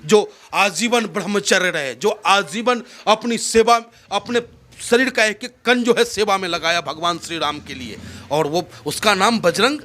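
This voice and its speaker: A man's voice: high-pitched, unhurried, sharp tone. A man from India with a North Indian accent, speaking in an impassioned, oratorical way as a political interviewee, emphatic and repeating phrases for effect.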